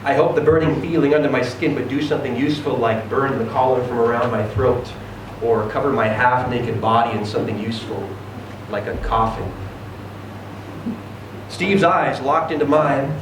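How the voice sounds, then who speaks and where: A man giving an expressive, theatrical reading of a first-person short story. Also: medium pitch, moderate pace. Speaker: reader of a short story at a live event. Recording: very echoey room, distant mic, noisy.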